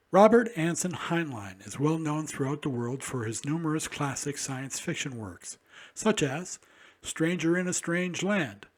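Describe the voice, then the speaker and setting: A man hosting a program, reading a scripted introduction. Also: on the high side. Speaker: host. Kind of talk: scripted narration.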